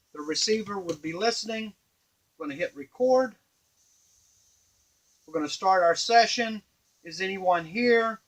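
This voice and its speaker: Middle-aged male demonstrator, higher-pitched voice, informal step-by-step workshop tutorial, slightly halting delivery. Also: poor audio. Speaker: device maker and demonstrator. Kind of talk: informal hands-on product tutorial. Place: small indoor workshop at a workbench. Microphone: camera a few feet away.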